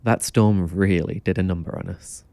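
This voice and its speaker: Actor as a young male motel clerk, lowish voice, offhand, kindly, audio drama, dry close recording. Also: fairly even intonation, moderate pace, dark tone, dry recording.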